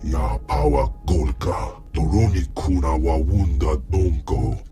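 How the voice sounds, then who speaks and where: Very deep alien businessman. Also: slow, over music. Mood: gruff. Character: gruff male alien businessman speaking invented tongue. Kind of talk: video game creature dialogue.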